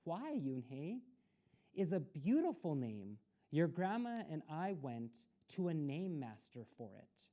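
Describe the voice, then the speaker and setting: A woman's voice: sits low, sing-song, slow pace, mellow, full character voice. A woman voicing a caring, firm immigrant mother in a storybook, speaking earnestly and instructively. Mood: reassuring.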